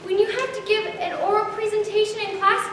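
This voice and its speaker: A woman's voice: very high voice, steady tone, brisk, fairly bright tone. A teenage female student acting a painfully shy, flustered, stammering girl in a readers theater monologue. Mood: nervous. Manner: stammering, repeats words. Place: large hall, on stage before an audience. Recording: echoey hall, distant mic, faint noise.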